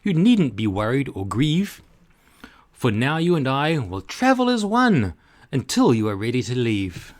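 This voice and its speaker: A man's voice: mid-range voice, big pitch swings, measured pace. Man voicing a dreamy, contented little cork, lilting. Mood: calm, assured. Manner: rhyming, philosophical. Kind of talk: storybook character read-aloud.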